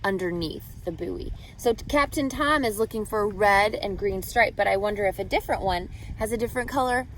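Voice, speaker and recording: A woman reading a picture book aloud as a teacher to children, expressive and carefully phrased, pausing to put questions to her listeners. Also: medium pitch, slight background noise, rough recording.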